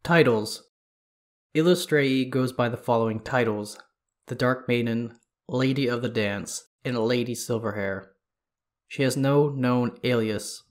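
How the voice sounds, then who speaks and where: A man with a stuffy, congested voice narrates fantasy lore for his podcast. His pitch sits in the middle range, and his delivery is steady and measured, like someone reading from prepared notes. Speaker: podcast host.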